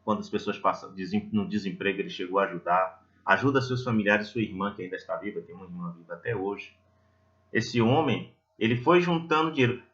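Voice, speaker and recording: A man's voice: fairly low pitch, a little fast, fairly bright tone, muffled recording. A Brazilian man giving a religious talk in a conversational, didactic way, telling stories and putting reflective questions to his listeners. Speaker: lay preacher at a Spiritist centre.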